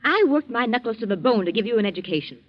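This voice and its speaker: A woman's voice: on the high side, animated, fast talker, close to an everyday voice. Dull vintage broadcast, female actor as scheming middle-aged mother, theatrical, intense, wide-ranging intonation. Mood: angry, bitter. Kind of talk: 1940s radio drama acting. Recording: muffled vintage 1940s radio recording.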